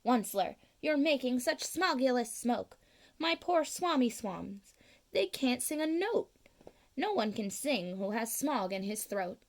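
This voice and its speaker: A woman voicing a grumpy old forest creature from a storybook, croaky, scolding and pleading. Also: fairly high pitch, sing-song, measured pace. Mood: weary complaint. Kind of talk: storybook read-aloud.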